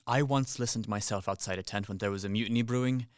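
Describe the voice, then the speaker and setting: A man's voice: fairly low pitch, fast, dry recording, lightly acted. A man acting a dryly polite, pragmatic career soldier in a radio play, matter-of-fact and placating. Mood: reasonable.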